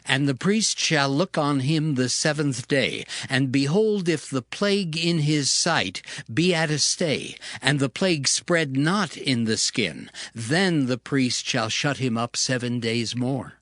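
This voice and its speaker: A man reading scripture as a measured audio Bible narration. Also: mid-range voice, fairly bright tone, no room sound, clean studio sound. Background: background music.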